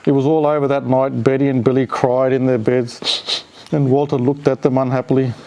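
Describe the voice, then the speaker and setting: A man's voice: medium pitch, little pitch movement, moderate pace, a little mellow, slight background noise, low-quality recording. A man reading a children's story aloud expressively, with playful, chatty asides to a pet. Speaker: storyteller reading a picture book to a dog.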